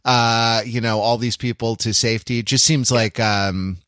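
A man co-hosting a casual film discussion podcast, thinking out loud in a halting way and often repeating words. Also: mid-range voice, steady tone, clean tone, no room sound, studio quality. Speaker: podcast co-host.

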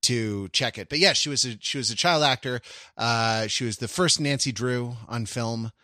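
A man's voice: medium pitch, moderate pace, bright, forceful tone, no echo, studio quality. A man from Los Angeles co-hosting a podcast in casual chat, laughing often and slipping into mock-grand speeches. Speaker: podcast co-host.

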